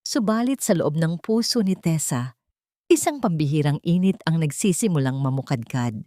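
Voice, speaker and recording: A woman with a Filipino accent narrating a scripted love story in a measured, solemn, sentimental manner. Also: deep voice, lively intonation, dark tone, no echo, studio quality.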